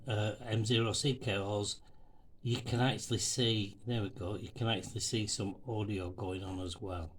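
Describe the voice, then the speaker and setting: Slow, bright-voiced man explaining step by step. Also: lowish voice. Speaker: amateur radio presenter. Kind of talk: informal explainer tutorial.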